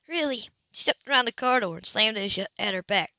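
A woman's voice: mid-range voice, fast, creaky, bright, forceful tone, no room sound, muffled recording. A woman reading a romance novel aloud as an amateur audiobook narrator.

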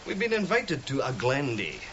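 A man's voice: mid-range voice, huge pitch swings, moderate pace, fairly bright tone, highs cut off, slight background noise. A male actor playing a breezy, sceptical, independent-minded archaeologist in a period radio drama, with a casual, colloquial manner. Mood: breezy, defiant.

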